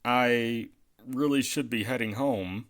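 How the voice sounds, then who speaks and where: Man as reluctant former guard, middle pitch, unhurried, hesitant, polite, resigned, audio drama. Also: very noisy, natural voice. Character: reluctant, polite night guard wanting to leave.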